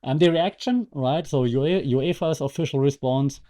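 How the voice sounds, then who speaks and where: A man's voice: a little high, normal speed, mellow. A man hosting a podcast with casual, conversational commentary and wry, sarcastic asides. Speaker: podcast host and media lecturer.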